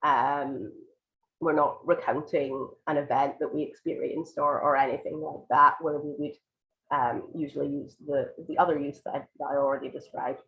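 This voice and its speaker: A woman teaching an online IELTS writing lesson, croaky and congested from a cold, explaining patiently with many ums and restarts. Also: low-pitched, steady tone, normal speed, dull audio. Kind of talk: live online lesson, answering viewer questions.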